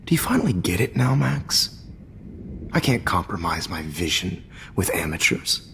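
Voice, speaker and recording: A man's voice: low-pitched, big pitch swings, moderate pace, vocal fry, a bit bright, slight background noise, exaggerated voice. A man voicing a smug, manipulative photography teacher in a video game, taunting and often whispering. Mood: menacing. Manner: taunting, mocking, drops into whispers.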